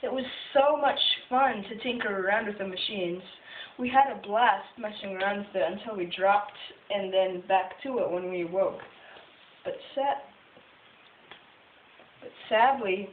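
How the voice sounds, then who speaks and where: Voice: medium pitch, natural voice. A boastful puppet businessman presents his own story acted out by a female-sounding performer. The delivery is scripted and self-satisfied, like a puppet show. The recording is tinny and roomy, with some hiss. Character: puppet of a famous male tech entrepreneur, boastful, jokey. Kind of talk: scripted first-person puppet-show monologue. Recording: tinny phone video, roomy, distant, hissy.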